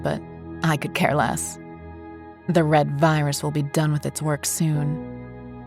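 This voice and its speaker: A woman's voice: deep voice, darkish tone. A woman playing a desperate, bitter wife narrating a first-person horror audio drama.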